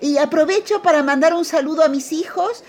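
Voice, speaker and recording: A woman performs an excitable, self-important Argentine actress in a radio play. Her voice is extremely high and theatrical, gushing and full of exclamations. There is faint noise in the recording. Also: brisk, close to an everyday voice. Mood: gushing, proud. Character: vain, excitable, scatterbrained amateur-minded stage actress and mother. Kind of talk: radio drama acting.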